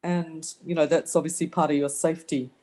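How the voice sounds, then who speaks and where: A woman's voice: deep voice, fairly even intonation, a little fast, warm tone. A woman from New Zealand with a slight New Zealand accent, a resident on a video call pressing officials with pointed questions at a community meeting. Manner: direct, pressing follow-up questions.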